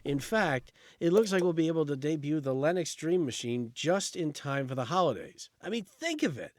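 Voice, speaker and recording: A man's voice: a little high, animated, moderate pace, dry recording, natural voice. A man playing a chatty, upbeat, friendly guy in an indie audio drama, eager and conversational.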